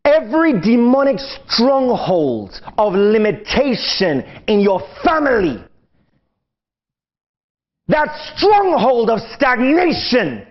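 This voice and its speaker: A man's voice: very high voice, very animated, slow pace. A man leading a fervent prayer, declaring release from limitation with repeated, commanding phrases. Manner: repetitive commanding declarations, rising to shouts. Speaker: preacher. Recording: dull lo-fi sound.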